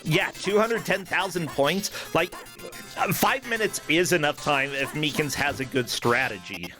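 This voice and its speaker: Male esports commentator, slightly high voice, enthusiastic and chatty, with clear music underneath. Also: animated, a little slow. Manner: enthusiastic, conversational. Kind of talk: live gaming tournament commentary. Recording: game music behind the voice.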